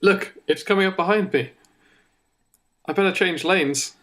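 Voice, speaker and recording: A man's voice: high voice, measured pace, fairly bright tone, pitched above the performer's own voice. A man voicing a bumbling, self-satisfied mall cop bent on revenge, in a stumbling mock-dramatic radio play style. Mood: smug.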